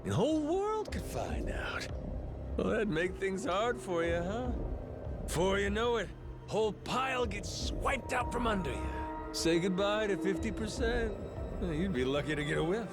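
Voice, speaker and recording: Cocky, high-voiced pirate captain. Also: male voice, big pitch swings, a little slow, exaggerated voice. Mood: taunting, menacing. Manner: swaggering, slangy, taunting. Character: cocky, menacing male pirate captain, middle-aged. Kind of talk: dramatic video game cutscene acting. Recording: game music behind the voice.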